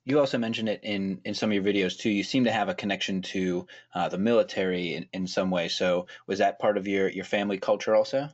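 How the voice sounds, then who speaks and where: Host: deep-voiced man, easygoing rambling questions, muffled audio. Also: fairly even intonation, fast talker, hardly any pauses. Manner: friendly, rambling questions with fillers. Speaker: podcast host. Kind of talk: casual interview chat.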